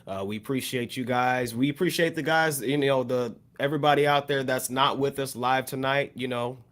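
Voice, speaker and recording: A man's voice: medium pitch, steady tone, normal speed, fairly bright tone. Guy on a hip hop podcast thanking everyone, mid voice, lots of "you know". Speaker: podcast co-host. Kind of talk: sign-off and thanks on a live podcast.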